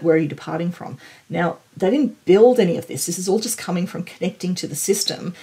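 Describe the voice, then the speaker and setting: A woman in her forties with a noticeably husky, gravelly-edged voice. She talks viewers through a software demo in an informal, conversational way, sounding keen about what she shows. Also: lowish voice. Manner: enthusiastic, informal. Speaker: tech presenter. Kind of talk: explainer screen-demo commentary, conversational.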